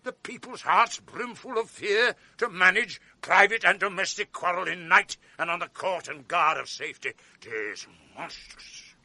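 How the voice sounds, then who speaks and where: An actor playing a proud, commanding general quick to rage in a radio drama, speaking in a declamatory way. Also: male voice, high-pitched, pushed and bright, no room sound, heavily acted. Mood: wrathful. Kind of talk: 1980s radio drama acting.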